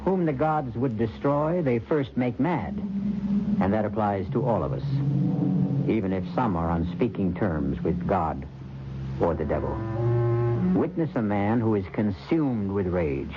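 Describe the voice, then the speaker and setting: A man hosting an old-time radio mystery show, narrating a script in a measured, dramatic, portentous manner. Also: fairly low pitch, lively intonation, very noisy. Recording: muffled old radio recording, loud music behind.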